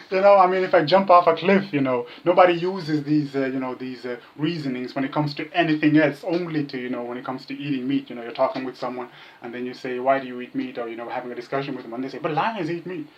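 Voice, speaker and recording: A man's voice: mid-range voice, fairly even intonation, fast, slight background noise. A man from Kenya talking casually as an interview guest, repeating himself and jokingly mimicking the people he argues with. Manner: jokey, repetitive, mimics opponents' remarks. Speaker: vegan activist, interview guest. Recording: dull, muffled lo-fi sound.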